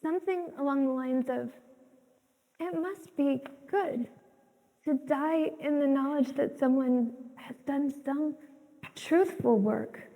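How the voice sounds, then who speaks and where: A woman playing a thoughtful defender of a troubled painter in stage dialogue, deliberate and emphatic. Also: high voice, dark tone. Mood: reverent. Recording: reverberant stage hall from afar.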